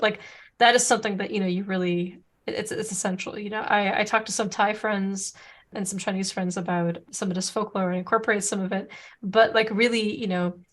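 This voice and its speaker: A woman co-hosting a podcast, talking in a loose, rambling way full of restarts and fillers. Her first language is Serbo-Croatian. Her voice sits at a middle pitch. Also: fairly even intonation, fast talker. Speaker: podcast co-host. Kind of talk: casual chat.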